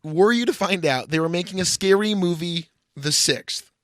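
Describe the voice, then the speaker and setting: A man's voice: high voice, moderate pace, fairly bright tone, dry recording, studio quality. A man co-hosting a podcast, talking casually as he reviews and ranks films. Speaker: podcast co-host. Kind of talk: casual film review chat.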